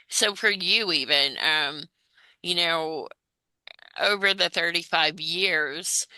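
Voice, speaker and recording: Woman, interview host, unhurried pace, close dry sound, hesitant conversational phrasing. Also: lowish voice, bright, forceful tone, no room sound, low-quality recording.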